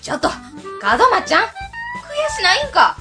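A woman's voice: very high voice, wildly swooping pitch, normal speed, music in the background, heavily acted. A woman voicing a blunt, sceptical granny with a Kansai accent, making incredulous retorts in a web radio drama. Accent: Kansai Japanese.

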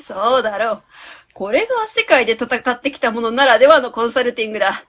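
Woman acting pompous high-voiced female consultant. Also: expressive, fast, fairly bright tone. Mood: self-satisfied. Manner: pompous, commanding, declarative. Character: pompous, self-assured female consultant barking absurd jargon advice. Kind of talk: web radio drama acting.

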